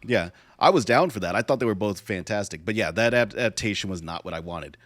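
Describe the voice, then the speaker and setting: Low-voiced man, close and dry. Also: expressive, fairly bright tone, dry recording. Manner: halting, searching for words, repeats himself. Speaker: podcast co-host. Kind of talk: casual podcast discussion about movies.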